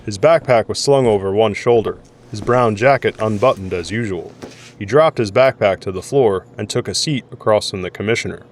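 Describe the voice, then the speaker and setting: Low-pitched male audio drama narrator. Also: moderate pace, slight background noise.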